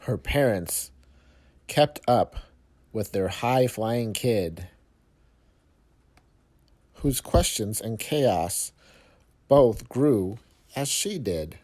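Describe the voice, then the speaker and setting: Slow, mellow man reading a children's story. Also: fairly low pitch, lively intonation. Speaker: storyteller reading a picture book aloud to young children. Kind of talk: expressive children's read-aloud of rhyming verse.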